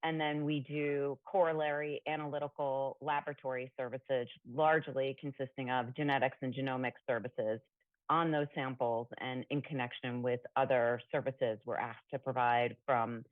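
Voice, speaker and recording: A woman testifying as a company representative over a video call, businesslike and explanatory, with her voice going hoarse. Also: a bass voice, fairly even intonation, normal speed, dry recording. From New Jersey. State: losing her voice. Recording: tinny dry phone-like call audio.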